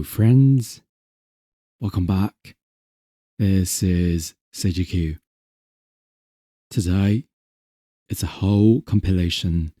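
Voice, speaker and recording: A man softly narrating a soothing ASMR bedtime story in a gentle, unhurried, hushed manner over music. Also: sits low. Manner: gentle, hushed, unhurried, at times near a whisper. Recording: music behind the voice.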